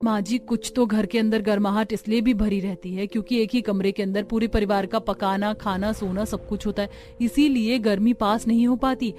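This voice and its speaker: A woman's voice: mid-range voice, fairly even intonation, normal speed, a little mellow, close to an everyday voice. A woman voicing a young, practical village daughter-in-law, respectful and earnest, in a cartoon story. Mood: plaintive. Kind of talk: Hindi cartoon story dialogue.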